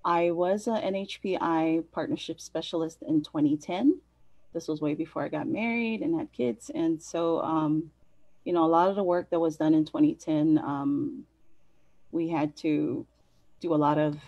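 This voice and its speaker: A woman's voice: deep voice, fairly even intonation, moderate pace, vocal fry, mellow, dry recording. A middle-aged woman from American Samoa and Hawaii, answering interview questions conversationally as a guest. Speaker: interview guest, census partnership specialist. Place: indoors on a video call. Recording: dull lo-fi video call.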